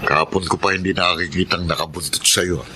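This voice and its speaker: A man's voice: low voice, fairly even intonation, brisk, noisy background, close to an everyday voice. An actor playing a steadfast, loving husband and father in a radio drama, with an earnest, solemn delivery. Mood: earnest devotion.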